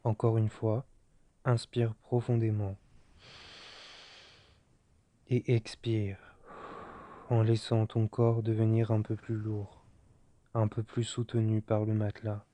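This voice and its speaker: A man guiding a yoga nidra relaxation in a soft, soothing, unhurried manner, leaving long pauses. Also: on the low side, completely flat delivery, highs cut off. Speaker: meditation guide.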